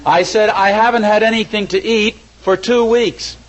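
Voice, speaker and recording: A man's voice: sits high, fairly even intonation, normal speed, natural voice, low-quality recording. An actor playing a scheming, mildly exasperated husband and father in an old-time radio comedy, chatty and mock-earnest.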